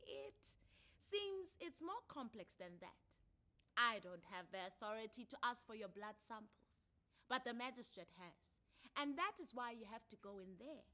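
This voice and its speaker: A woman voicing a measured, formal female court counselor who questions deliberately in a dramatised story reading. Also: high-pitched, sharp tone, voice pushed up, exaggerated voice. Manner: deliberate, questioning, drawn-out phrasing.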